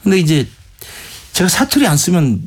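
A man's voice: high voice, sing-song, normal speed, husky. A middle-aged man with a Gangwon Korean dialect, a guest singer telling rambling anecdotes full of restarts and fillers in a casual interview.

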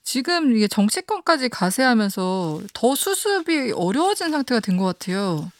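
Host on a talk radio show, calm, brief, mid-pitched voice. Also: normal speed, dry recording. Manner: soft brief backchannel replies, calm questions. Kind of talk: current-affairs interview.

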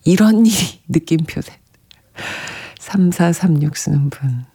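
Mellow radio host reading listener notes, soft and a bit breathy, laughs quietly a lot. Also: medium pitch, measured pace. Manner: gentle, chatty, often laughing softly.

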